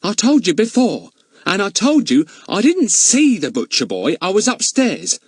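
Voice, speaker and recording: A man's voice: high-pitched, huge pitch swings, measured pace, breathy edge, no room sound, clean studio sound, pitched above the performer's own voice, heavily acted. A man voicing a talkative, easily offended older female housekeeper who keeps calling her employer sir. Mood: chatty. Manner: gossipy, deferential, many a "sir". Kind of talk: audiobook character voice.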